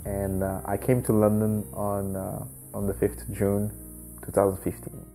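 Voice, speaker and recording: Hesitant, even-toned man, mellow. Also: on the low side, creaky. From Brussels, Belgium. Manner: hesitant, halting. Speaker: documentary interviewee. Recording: music playing behind the voice. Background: background music.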